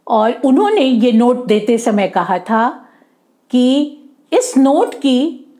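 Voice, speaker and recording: Storybook employer, kindly earnest man played by a woman, polite, very unhurried, swooping intonation. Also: on the high side, sing-song, warm tone. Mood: earnest, explanatory. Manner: earnest, polite, explanatory, slow. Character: kindly, earnest male employer entrusting a gift.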